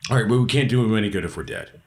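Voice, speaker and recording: Low-voiced man playing a tabletop game. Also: very fast, a little mellow. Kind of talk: casual in-game discussion.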